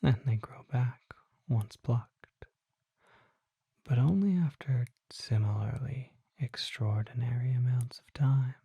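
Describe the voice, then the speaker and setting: ASMR performer playing a mysterious ancient vampire, breathy whisper, slow and deliberate, courtly, calm, knowing, close-miked. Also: medium pitch, no room sound, exaggerated voice. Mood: hushed, mysterious. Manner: slow, formal, deliberate, hushed. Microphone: close microphone.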